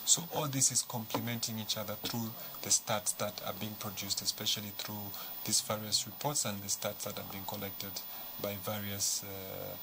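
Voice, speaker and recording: A man's voice: on the low side, flat delivery, moderate pace. A man with a Zambian accent explaining as a panel guest, conversational and given to rhetorical questions. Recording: clear background noise.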